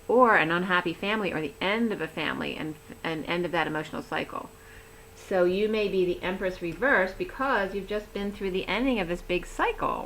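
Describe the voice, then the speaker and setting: Middle-aged lady rambling thru a tarot reading, kinda low, voice going hoarse, faint hum behind. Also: fairly bright tone, poor audio. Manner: chatty, rambling, laughs easily. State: losing her voice. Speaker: tarot reader. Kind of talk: casual tarot reading to camera.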